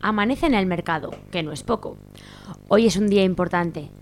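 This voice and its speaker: A woman's voice: medium pitch, a little fast. A woman with an accent from Spain reading scripted narration for a radio serial. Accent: Spanish from Spain. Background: music behind the voice.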